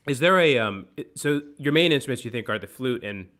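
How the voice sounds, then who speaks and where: A young man hosting a video call interview, asking friendly, halting questions with fillers from a room at home. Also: mid-range voice, lively intonation, a bit bright. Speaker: host. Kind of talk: interviewing a guest.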